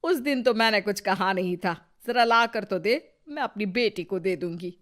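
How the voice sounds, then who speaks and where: A woman's voice: mid-range voice, expressive, normal speed, fairly bright tone, close to an everyday voice. A woman voicing a scornful, suspicious older mother-in-law in a cartoon story, with a taunting, scolding manner. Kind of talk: cartoon voice acting.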